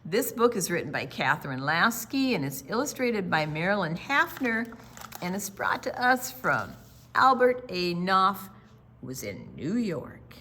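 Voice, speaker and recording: Expressive woman hosting children's storytime. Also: lowish voice, a little hiss or hum. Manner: animated, drawn-out words for young listeners. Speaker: children's storytime host and reader. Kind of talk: expressive read-aloud and friendly chat.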